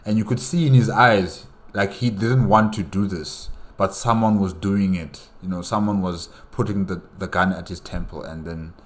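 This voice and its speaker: A man giving casual, rambling reaction commentary, often hesitating and repeating his phrases. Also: low voice. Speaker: reaction video host.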